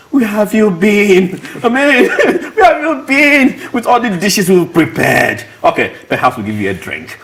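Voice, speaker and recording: A man's voice: a little high, fairly bright tone. A man hosting a live sports talk show, jovial and chatty, laughing often. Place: studio.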